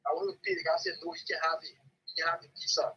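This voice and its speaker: Liberian guy on a talk show, very husky raspy voice, tells stories all animated, muffled lo-fi audio. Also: fairly high pitch, very fast. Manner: animated, repeats phrases, re-enacts dialogue. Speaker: talk show caller or guest. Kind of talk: casual anecdotal chat.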